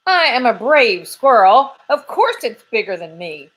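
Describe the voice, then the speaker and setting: A woman voicing a twitchy, timid male squirrel who is scared of everything, with drawn-out, quavering cries. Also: fairly high pitch, very animated, fairly bright tone, voice pushed up, heavily acted, poor audio.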